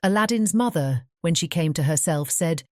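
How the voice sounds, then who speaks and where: Female storybook narrator: mellow, measured bedtime reading, recorded very dry and close. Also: lowish voice, expressive, no room sound. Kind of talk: scripted bedtime fairy-tale narration.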